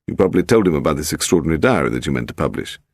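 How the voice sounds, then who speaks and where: A man voicing an urbane, unflappable English gentleman detective in a crime story, teasing and assured. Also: a bass voice, lively intonation, racing, no echo, studio quality, heavily acted.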